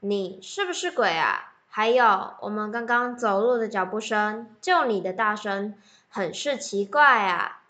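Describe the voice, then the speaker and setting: A woman's voice: mid-range voice, lively intonation, a little slow, bright, forceful tone. A teenage girl playing a trusting elder ghost, a wanderer who is easily exasperated, in an amateur radio drama. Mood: suspicious.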